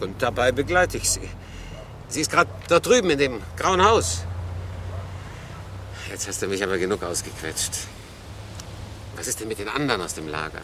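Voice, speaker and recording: An actor playing a teasing, streetwise refugee, blunt and familiar, in radio play dialogue. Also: male voice, on the low side, natural voice. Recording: noisy street ambience with traffic.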